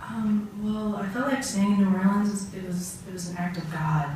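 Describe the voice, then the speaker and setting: A woman playing a humble 47-year-old immigrant man giving halting testimony on the witness stand in an amateur mock trial. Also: mid-range voice, fairly even intonation, a little mellow, close to an everyday voice. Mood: earnest, hesitant. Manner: halting, hesitant, frequent ums and pauses. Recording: echoey room, far from mic, faint noise.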